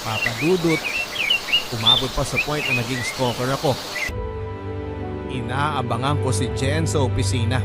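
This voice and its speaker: A mid-pitched man acting a troubled young Filipino confessing in first person. He narrates with remorse in radio drama style, over loud music. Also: natural voice.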